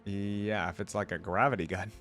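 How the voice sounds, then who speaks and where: A man's voice: fairly low pitch, fairly even intonation, moderate pace, a bit bright, faint music behind. A man hosting a reaction video, commenting casually, repeating and musing on what he has just heard.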